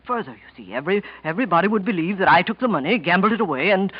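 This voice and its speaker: Scheming, polite bookkeeper, vintage radio. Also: male voice, sits high, lively intonation, very fast, slightly rough. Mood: earnest, confiding. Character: polite, scheming male bookkeeper, calmly feigning sincerity. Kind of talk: 1940s radio drama acting. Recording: dull vintage 1940s radio recording.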